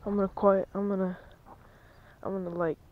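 A young man, vlogging as he fishes from a lake bank, chatty and excitable, dropping to a near-whisper at times. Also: high voice, moderate pace, phone-quality sound, slight background noise. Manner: chatty, excitable, drops to near-whisper while stalking fish. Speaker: fishing vlogger. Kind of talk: casual vlog narration. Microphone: chest-mounted action camera.

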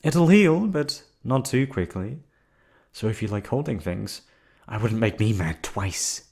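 A coldly controlling yandere captor, voiced by a man for an ASMR roleplay. He speaks in a low, put-on accent with lively swings of pitch. He stays calm and threatening throughout. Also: measured pace, creaky, slightly rough, full character voice. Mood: cold menace. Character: menacing yandere male receptionist captor. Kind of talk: ASMR roleplay audio drama.

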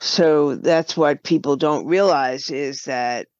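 A woman giving explanatory, halting answers as a guest in an unscripted interview. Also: low voice, a little mellow, dry recording, highs cut off. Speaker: podcast guest, writer and producer.

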